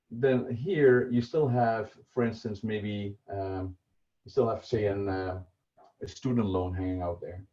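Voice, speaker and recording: A middle-aged man with a Dutch accent, explaining budgeting in a casual livestream with many fillers and restarts. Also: on the low side. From Netherlands. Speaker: personal finance presenter. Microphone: video call. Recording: muffled lo-fi video call audio.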